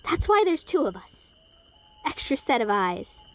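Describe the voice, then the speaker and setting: Wary ghost lad, read by a woman, fairly high and lively. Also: a little slow. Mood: reasoning. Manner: wary, dry. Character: cautious young male ghost companion.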